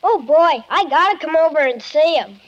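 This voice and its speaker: A woman's voice: high-pitched, expressive, normal speed. An actress voicing an eager, impatient, blunt little boy in a 1950s radio drama. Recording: noisy, muffled old 1950s radio broadcast.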